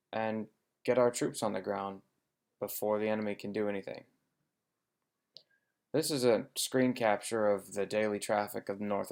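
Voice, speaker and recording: Low, gravelly man giving a presentation. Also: darkish tone. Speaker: air traffic controller giving a presentation. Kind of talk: informative slide presentation.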